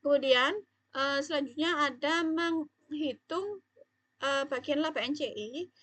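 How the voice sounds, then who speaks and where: A woman's voice: high voice, a little slow, fairly bright tone, no echo. A young woman explaining material as a student presenter, somewhat hesitant. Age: young adult.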